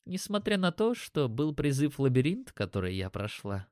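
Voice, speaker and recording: Guy doing a young elf girl for a fantasy audiobook, curious n teasing, lively pitch swings. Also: moderate pace, dry recording, studio quality. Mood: calm pride.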